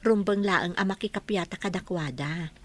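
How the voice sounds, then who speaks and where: A woman's voice: fairly low pitch, moderate pace, close to an everyday voice. A woman with an Ilocano accent playing a sweet, forgiving young wife in a radio drama, speaking earnestly. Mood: gentle, earnest.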